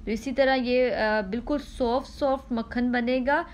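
A woman host, giving a patient step-by-step cooking demonstration at home, repeating instructions and pausing with "ah" between steps. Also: on the high side, steady tone.

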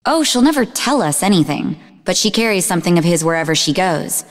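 Snarky, animated young psychic in reverb. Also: female voice, lowish voice, brisk. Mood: matter-of-fact. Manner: sarcastic, teasing. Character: sarcastic young woman psychic, snarky, sharp-witted, easily exasperated. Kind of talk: video game voice acting.

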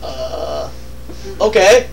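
A man's voice: high voice, sing-song, very slow, vocal fry, recorded from a distance, background noise. A man co-commentating on a let's play with casual, sarcastic, joking banter. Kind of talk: casual banter over gameplay. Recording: off-mic, game music behind. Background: video game music.

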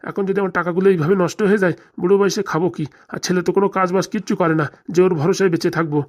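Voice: medium pitch, steady tone, racing, close to an everyday voice. A performer voicing an anxious, aggrieved middle-aged Bengali father in a comedy cartoon, lamenting and rambling in a rural dialect. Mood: bitter, pleading. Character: anxious, aggrieved father nearing retirement, fretting over lost money.

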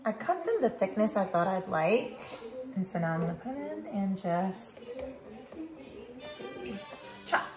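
A woman's voice: medium pitch, sing-song, a little slow, long pauses, music in the background. Upbeat young woman cooking in an echoey kitchen. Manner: upbeat, explains step by step. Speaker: vlogger, trucker wife. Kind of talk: casual cooking vlog narration. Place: home kitchen, indoors. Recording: echoey kitchen, faint hiss.

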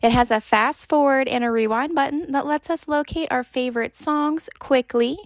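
High-voiced woman narrating a review, dry sound. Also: moderate pace, no room sound. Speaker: product reviewer. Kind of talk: scripted product review narration.

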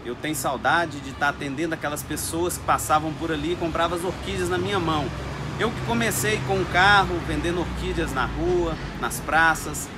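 Chatty orchid grower in greenhouse. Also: male voice, a little high, fairly quick, background noise. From Brazil. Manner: chatty, keeps addressing viewers as "gente". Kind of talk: casual talk to camera with growing tips. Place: indoors in a greenhouse full of orchids.

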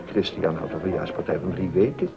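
An actor playing a gossipy, sardonic court musician and tutor in a period docudrama, confiding and articulate. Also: male voice, low-pitched, a little fast, creaky, a crowd in the background. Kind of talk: Dutch-language period docudrama acting. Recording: dull, muffled audio.

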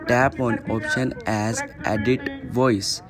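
A man's voice: mid-range voice, steady tone, slow, a crowd in the background. A man presenting a step-by-step app tutorial in simple, instructional narration.

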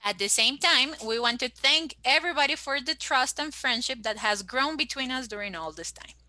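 A woman's voice: high voice, expressive, normal speed, sharp tone, no room sound. A native Spanish-speaking woman from Ecuador hosting a formal ceremony, speaking in a ceremonial tone. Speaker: ceremony host.

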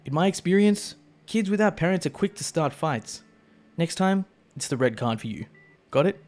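A man's voice: a little high, animated, moderate pace, a little mellow, soft background music, close to an everyday voice. A man reading aloud as a stern school teacher, scolding and lecturing a pupil.

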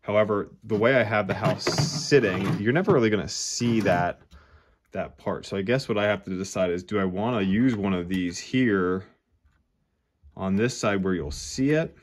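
Low-voiced man narrating his hobby build. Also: moderate pace, noisy background. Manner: relaxed, thinking aloud while working. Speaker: model railroad hobbyist. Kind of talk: casual how-to narration. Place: hobby room at a model train layout.

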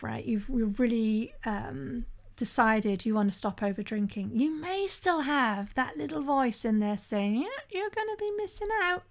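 A woman in middle age hosts her own podcast alone. Her voice sits a little high, and she talks in a loose, conversational way, stopping to restart a phrase and asking "right?" to keep the listener with her. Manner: conversational, often asks "right?", occasional restarts. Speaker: podcast host and sober-living coach. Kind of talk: solo podcast monologue.

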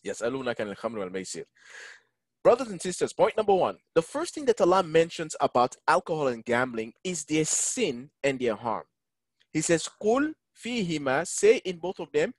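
A man teaching an online Quran lesson, engaging his listeners and reciting Arabic verses quickly. English is not his first language. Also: fairly high pitch, no echo. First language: neither Arabic, English nor French. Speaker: imam teaching a Quran class. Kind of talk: online Quran lesson over a video call. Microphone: video call.

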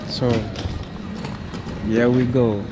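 A man's voice: medium pitch, level tone, extremely slow, very noisy. A young man from Nigeria, a travel vlogger talking casually to an arm's-length camera at a train station. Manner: chatty, friendly, thinks aloud to camera. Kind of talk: casual travel vlog narration. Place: airport train station and train carriage, indoors. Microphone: action camera held at arm's length.

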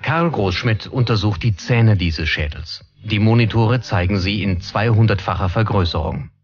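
A man's voice: on the low side, normal speed, breathy edge, dull audio. A man reading scripted documentary narration. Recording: music under the voice. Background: background music.

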